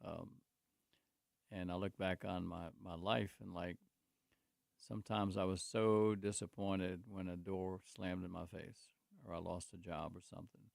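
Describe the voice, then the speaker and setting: A man's voice: low-pitched, flat delivery, measured pace, gravelly, a little mellow, no echo, clean studio sound. A man, a guest on a talk show, telling rambling stories about his life and faith with many restarts. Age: 60s. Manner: speaks openly about faith. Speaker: guest, construction company owner. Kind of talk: casual talk show conversation.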